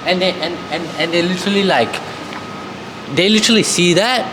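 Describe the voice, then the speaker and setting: A man hosts a casual podcast in a slightly high voice. His talk is emphatic, slangy and sweary, often repeating words. Clear ambient noise runs behind him. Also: fairly quick. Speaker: podcast host.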